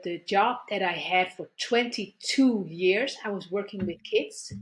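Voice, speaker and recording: A middle-aged woman, a guest therapist, telling her personal story in conversation, speaking haltingly and repeating words as she searches for her thoughts. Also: lowish voice.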